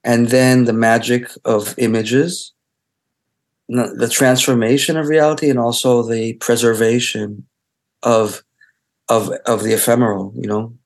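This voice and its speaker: A middle-aged man born in Israel and raised on Hebrew, giving a thoughtful interview about his life as a photographer. He gropes for words, restarts often and circles back on his ideas. His voice sits at an ordinary male pitch. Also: flat delivery. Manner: halting, searching for words, many restarts. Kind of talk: interview, reflective talk.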